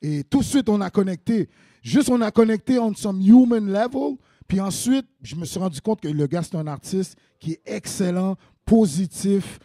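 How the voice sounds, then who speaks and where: A man's voice: high voice, expressive, moderate pace, warm tone, dry recording, clean studio sound. A man with a Quebec French accent hosting a web TV interview, hyping up his guests. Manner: enthusiastic, hypes up guests. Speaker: web TV host.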